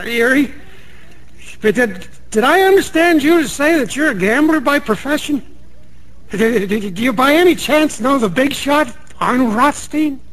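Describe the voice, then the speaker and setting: High-voiced, meek, dreamy American clerk. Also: male voice, fairly bright tone, heavily acted, low-quality recording. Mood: timid, eager. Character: meek, dreamy male night clerk, star-struck by gamblers. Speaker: actor. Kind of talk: radio drama.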